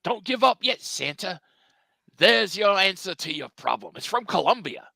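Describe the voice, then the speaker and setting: Hapless, earnest cartoon hero, voiced by a man: melodramatic, lively swings, dry close sound. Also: sits high, normal speed, vocal fry, dry recording, heavily acted. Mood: encouraging. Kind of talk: live dramatic table read of a cartoon.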